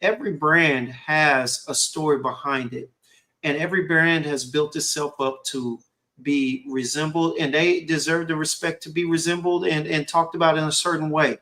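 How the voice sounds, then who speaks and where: A man hosting a casual chat, talking in an animated, rambling way full of "you know" and "whatnot". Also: on the high side, moderate pace. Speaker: host. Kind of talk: casual conversation.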